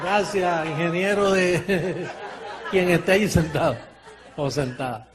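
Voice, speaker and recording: A high-voiced man preaches a sermon to a congregation. He swings from low, quiet asides to loud shouted points and often laughs between phrases. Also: slow, a crowd in the background.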